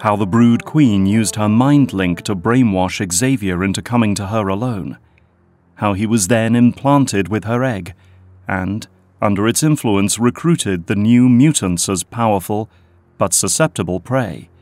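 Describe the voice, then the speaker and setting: A man giving steady, plain scripted narration for an audio drama. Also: on the low side, unhurried, dry recording.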